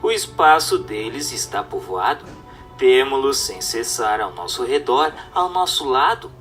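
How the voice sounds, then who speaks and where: Animated male narrator over music. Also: medium pitch, unhurried, recorded from a distance. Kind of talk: scripted dramatised narration of a spiritist book.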